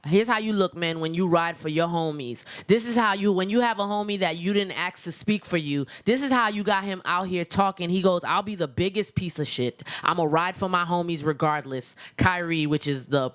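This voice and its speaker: A woman with an American accent and a smooth, clear voice that sits a little low. She talks like a radio host in casual conversation, slangy and opinionated, leaning hard on her points. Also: fairly quick, few pauses. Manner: emphatic, slangy.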